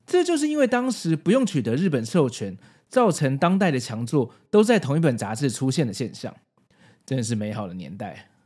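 A man's voice: medium pitch, big pitch swings, brisk, warm tone. A man in his forties with a Taiwanese Mandarin accent narrates a scripted video essay with casual asides, in an explanatory, nostalgic way. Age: 40s. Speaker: host.

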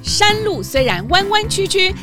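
A woman narrating a scripted children's story in a dramatic, expressive way. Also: extremely high pitch, a little slow. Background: music.

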